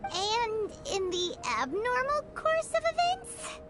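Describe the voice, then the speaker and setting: Squeaky excitable fairy companion. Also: female voice, slow pace, heavily acted. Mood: wary. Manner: squeaky, bouncy, wide-swinging. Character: tiny chirpy floating fairy companion, excitable. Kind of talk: video game voice acting. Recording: game audio with loud music.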